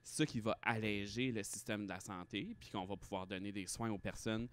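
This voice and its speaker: A man's voice: on the low side, steady tone, brisk, fairly bright tone, clean tone. A man in his thirties with a Quebec French accent, speaking candidly and emphatically as a guest in a casual interview. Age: 30s. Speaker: podcast guest, drag performer and hospital respiratory therapist.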